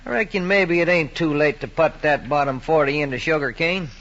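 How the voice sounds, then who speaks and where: Poor rural dad, earnest n halting, highish voice, old muffled radio play. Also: male voice, a bit bright. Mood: remorseful. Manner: rustic, halting speech. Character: humbled poor country father, earnest and well-meaning. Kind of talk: old-time radio drama acting. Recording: dull vintage radio recording.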